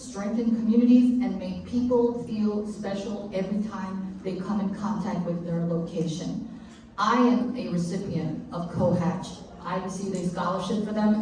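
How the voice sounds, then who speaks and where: A woman presenting at a convention, reading an introduction for the next speaker. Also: mid-range voice. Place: convention hall. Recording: echoey hall from far off, faint noise.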